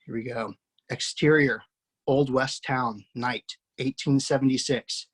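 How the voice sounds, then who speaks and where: A man hosting and narrating a screenplay table read, relaxed and chatty, with many fillers. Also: medium pitch, measured pace, husky. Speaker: writer and host.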